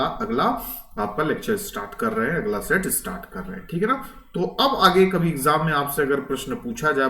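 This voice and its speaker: A man's voice: mid-range voice, fairly bright tone. A man teaching an informal online exam lecture, explaining step by step, repeating phrases and often checking that students follow. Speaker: history teacher for competitive exams. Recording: echoey room, distant microphone.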